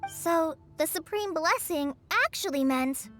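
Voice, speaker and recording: A woman voicing a tiny, curious, easily confused childlike girl companion in a video game, squeaky and excitable. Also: a little slow, soft background music. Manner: squeaky, excitable, refers to herself by name. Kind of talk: video game cartoonish acting.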